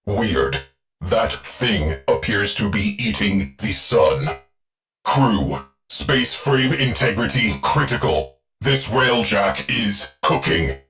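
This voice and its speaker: A stern shipboard AI officer in a video game, all clipped commands and dry deadpan remarks. His voice sits very low and unhurried, with faint music underneath. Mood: terse. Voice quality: clipped, deadpan military delivery. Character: stern male ship AI officer, terse, dry. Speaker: ship's AI officer.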